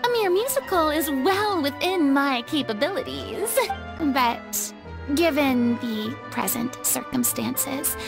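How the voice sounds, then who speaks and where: A woman's voice: sits high, animated, slow, creaky, over music, full character voice. A woman playing a proud, theatrical young retired stage star in a video game, with a haughty, dramatic manner. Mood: lofty. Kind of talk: scripted video game voice acting.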